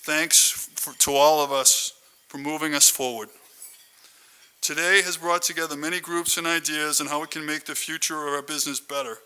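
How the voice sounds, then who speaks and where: A man's voice: a little high, steady tone, moderate pace, bright, forceful tone, clear, resonant tone. A man hosting a conference, giving a formal, prepared introduction speech. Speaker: conference host introducing keynote speaker.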